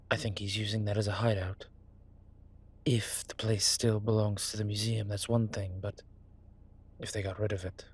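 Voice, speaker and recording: Suave male vampire for audio roleplay, played by a man: husky, breathy, measured, sentimental with dry wit. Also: fairly low pitch. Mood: thoughtful, hopeful. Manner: measured, wry, formal wording. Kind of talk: scripted intimate audio roleplay, one side of a conversation.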